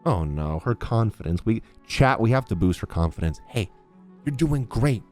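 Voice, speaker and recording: Woman streamer with a low, very animated voice. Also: normal speed, faint music behind. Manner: expressive, jokey, exclamatory. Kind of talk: casual reaction commentary over a game.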